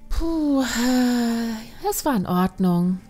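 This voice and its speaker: Guy doing moody young panda girl, really high voice, reluctant, fantasy audiobook. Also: animated, very slow, a little mellow, faint music behind, heavily acted. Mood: sulky.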